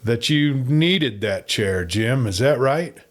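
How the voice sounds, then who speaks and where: A man's voice: mid-range voice, animated, slow pace. A man voicing a laconic, secretive cowboy outlaw, telling things in an understated way. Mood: guarded. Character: laconic, regretful outlaw bringing news.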